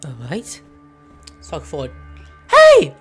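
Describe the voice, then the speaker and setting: A young man giving casual, crude, excitable gameplay commentary as a streamer, close to the mic at home. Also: high voice, slow pace, breathy edge. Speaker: gaming streamer. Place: room at home. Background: game music.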